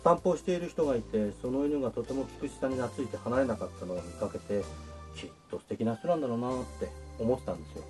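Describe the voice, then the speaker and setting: A man's voice: mid-range voice, fast, music in the background, lightly acted. A man playing a polite, shy, earnest suitor in a romantic radio drama, with courteous and slightly bashful phrasing. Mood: earnest, flirtatious.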